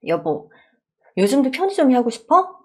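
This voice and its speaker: A supportive young wife in a radio story, as a woman narrator plays her. She speaks gently and encouragingly, with a slightly husky, expressive voice. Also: high-pitched, normal speed, pitched above the performer's own voice, heavily acted.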